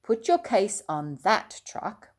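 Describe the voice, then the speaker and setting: A woman's voice: mid-range voice, very animated, slow pace. A woman voicing a helpful man in charge of airport luggage in a storybook, giving firm, kindly instructions.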